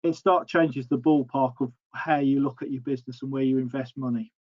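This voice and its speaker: A man's voice: medium pitch, fairly even intonation, fairly quick, no echo, lo-fi sound. Stumbling male livestock advisor. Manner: stumbling, restarts sentences. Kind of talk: panel discussion.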